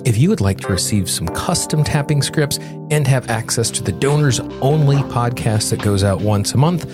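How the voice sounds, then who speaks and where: A man's voice: medium pitch, lively intonation, moderate pace, music in the background. An American man hosting a solo podcast, explaining things and speaking directly to his listeners. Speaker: podcast host.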